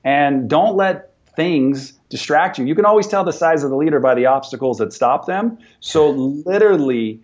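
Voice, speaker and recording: A man in his forties, a business owner talking as an interview guest in an energetic, motivational way, often repeating words as he starts sentences. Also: a little high, moderate pace, few pauses, dull audio. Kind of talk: conversational interview.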